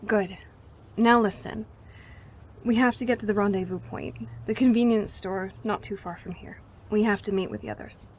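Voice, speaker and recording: A woman's voice: medium pitch, normal speed, a little mellow, slight background noise, lightly acted, rough recording. A woman playing a firm, exasperated young survivor in grief, speaking urgently and with authority in an audio drama. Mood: firm, grieving. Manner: urgent, commanding, emotional. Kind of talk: audio drama acting, anime fan parody.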